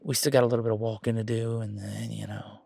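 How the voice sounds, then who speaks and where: A man's voice: lowish voice, flat delivery, quick pace, gravelly, mellow, breathy edge, no room sound, studio quality, exaggerated voice. A man playing a polite, flustered werewolf boyfriend in a romantic audio drama, talking casually with nervous stammers. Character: Gavin, flustered, polite, excitable young male werewolf boyfriend.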